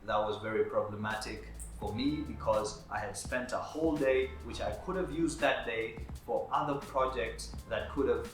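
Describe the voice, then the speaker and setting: Male vlogger, native Swahili speaker, earnest advice tone, roomy sound with soft music under. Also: medium pitch, moderate pace, few pauses. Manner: earnest, structured, advice-giving. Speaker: videographer and business owner. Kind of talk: talking-head advice vlog. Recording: roomy echo with faint background music.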